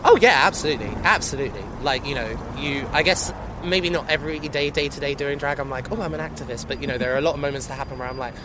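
Chatty drag performer on a noisy street. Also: male voice, medium pitch, quick pace, hardly any pauses. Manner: chatty, playful, many fillers. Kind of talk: casual street interview. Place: seafront street outdoors, traffic passing. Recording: busy outdoor street noise.

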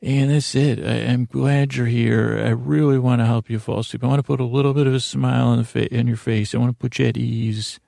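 A man hosting a bedtime podcast in a gravelly voice, with a meandering, halting monologue that keeps interrupting itself and wandering off. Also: medium pitch, level tone, warm tone, no room sound, clean studio sound. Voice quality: gravelly, creaky. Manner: meandering, halting, self-interrupting, trails off on tangents. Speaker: podcast host.

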